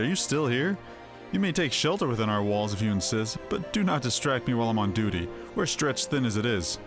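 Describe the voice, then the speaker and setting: A man voicing a gruff, haughty fortress commander in a fantasy game, formal and disdainful. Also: medium pitch, natural voice. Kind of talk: acted fantasy game dialogue. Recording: music behind the voice.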